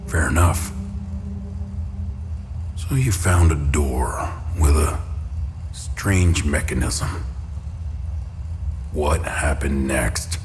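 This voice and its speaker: A cold video game interrogator with an extremely deep voice. He speaks slowly, with smug cruelty and mock politeness. His words ring in a long hall reverb over music. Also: lively intonation, exaggerated voice. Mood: cold probing. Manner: slow, measured, mockingly polite. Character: cold, menacing male interrogator, smugly cruel. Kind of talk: video game cutscene acting.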